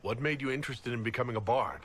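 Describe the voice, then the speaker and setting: An actor playing a steady, gallant leader in a video game, speaking in a courteous, direct and diplomatic manner. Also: male voice, medium pitch, fast, slight background noise, natural voice. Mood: reassuring. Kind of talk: video game cutscene acting.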